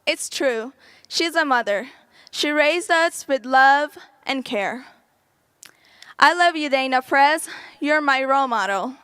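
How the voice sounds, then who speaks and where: Bright high-voiced young woman, earnest speech. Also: expressive, slow, pauses often, no echo. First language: Farsi. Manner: earnest, reading a prepared speech. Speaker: graduating student speaker. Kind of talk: prepared graduation speech.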